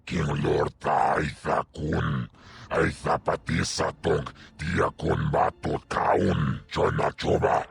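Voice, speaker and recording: A massive, menacing alien creature from a video game. The voice is extremely deep and guttural, full of gravelly creak. It growls out an alien tongue slowly and heavily, with faint music behind. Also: male voice, steady tone, slight background noise, heavily acted.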